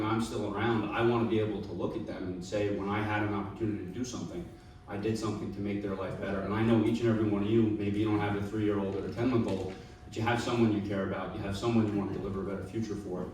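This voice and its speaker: A low-voiced man gives a persuasive talk to a public meeting. He keeps the tone earnest and keeps checking in with the audience. The sound comes from far off in a very echoey hall. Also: a little mellow, a little hiss or hum. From Long Island. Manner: earnest, persuasive, repeats "right?". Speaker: panel speaker. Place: meeting room.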